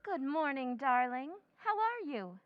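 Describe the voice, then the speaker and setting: This is a devoted young wife who hangs on her husband and is eager to please him, played by a woman. Her voice is high and bouncy, recorded dry and slightly muffled. She speaks in the manner of a classic radio play. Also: big pitch swings, a little slow, very noisy. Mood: doting. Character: doting, clingy young wife. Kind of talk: old-time radio drama recreation. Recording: dry, dull lo-fi sound.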